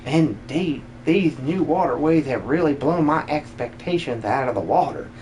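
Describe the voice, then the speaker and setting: A man acts out a formal old advisor from a fantasy game. He is pompous and wordy, with a stilted delivery. There is clear background noise on the recording. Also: a little high, lively intonation, moderate pace, darkish tone, natural voice. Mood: pompous, grave.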